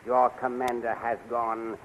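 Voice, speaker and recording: An actor playing a scheming older majordomo, formal and obsequious, in a 1930s radio serial. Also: male voice, mid-range voice, completely flat delivery, measured pace, dull audio, natural voice. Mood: earnest, urging. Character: scheming, flattering older male courtier and majordomo. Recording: noisy 1930s radio recording.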